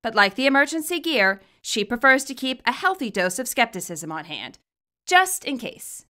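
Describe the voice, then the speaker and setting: A woman reading scripted commentary and story narration, expressive and wry as the author of the tale. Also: fairly high pitch, normal speed. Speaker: fan fiction author and narrator.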